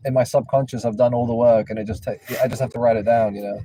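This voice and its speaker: A man driving for a rideshare show, chatting casually with the passenger beside him. His talk is joking and rambling, full of questions, and he sits back from the mic. Road noise hums clearly under everything. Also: medium pitch, steady tone, fast talker, dark tone, distant mic. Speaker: rideshare driver and show host. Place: inside a car.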